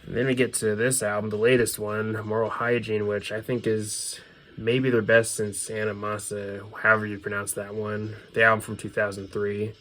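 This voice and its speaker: A man's voice: fairly low pitch, level tone, normal speed, fairly bright tone, low-quality recording. A man giving casual, unscripted commentary as a video host, offhand and hedging his opinions. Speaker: video host showing a music CD collection. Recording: clear background noise.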